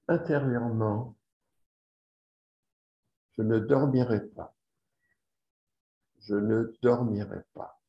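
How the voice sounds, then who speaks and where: A man leading a guided yoga relaxation as the instructor, speaking deliberately and repeating phrases for emphasis. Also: medium pitch, mellow. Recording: roomy echo, slightly dull sound.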